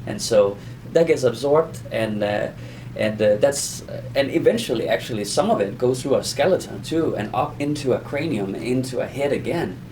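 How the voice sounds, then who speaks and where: A man with a medium-pitched voice explains things in an informal lesson. He talks conversationally and haltingly, circling back and repeating himself. There is some light noise behind him. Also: animated, darkish tone, low-quality recording. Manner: halting, rambling explanations with self-repetition. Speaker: audio explainer teaching beginners.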